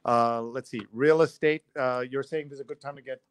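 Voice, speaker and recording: A man's voice: mid-range voice, fairly even intonation, a little fast, clear, resonant tone. A man hosting a live online show, interviewing in a casual, chatty way.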